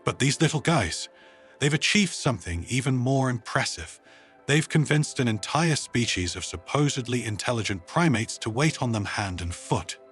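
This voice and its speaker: A man's voice: medium pitch, expressive, moderate pace, fairly bright tone, soft background music, lightly acted. A man voicing a theatrical, wry male presenter with mock-grand flourish in a narrated story. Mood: wry, playful.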